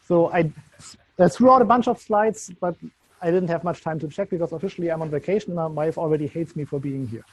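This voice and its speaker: A man's voice: on the high side, fast, muffled recording. German-accented man giving a tech talk. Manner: loose, searching delivery with frequent ums and restarts. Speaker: conference speaker. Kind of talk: tech meetup presentation.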